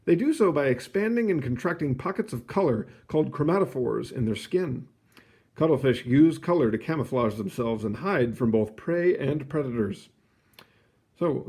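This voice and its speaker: Man, slightly rough husky voice, mid-pitched, read-aloud host, clearly phrased children's nonfiction, casual asides. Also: darkish tone.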